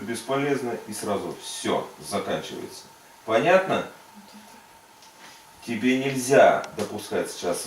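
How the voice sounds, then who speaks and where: A man working as a faith healing preacher, giving insistent prayer commands and coaching in short, repeated phrases. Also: medium pitch. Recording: echoey room, distant mic, faint noise.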